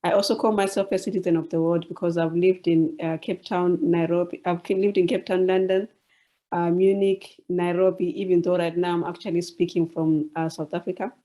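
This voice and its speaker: A woman with a Zimbabwean accent introducing herself in a webinar, speaking haltingly and repeating words. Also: fairly low pitch, flat delivery, dark tone. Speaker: journalist and science communication presenter.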